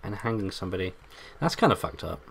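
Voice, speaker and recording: A young man with a bassy voice, hosting a let's play with casual, joking commentary and humming. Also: expressive, fast, creaky, slightly rough. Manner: joking, hums and sings to himself, loud bursts.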